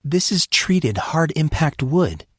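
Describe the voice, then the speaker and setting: Deadpan teenage girl, voiced by a man, raised pitch, blunt and coolly probing, dry fantasy-drama recording. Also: slow, breathy edge, no room sound, full character voice. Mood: probing, serious.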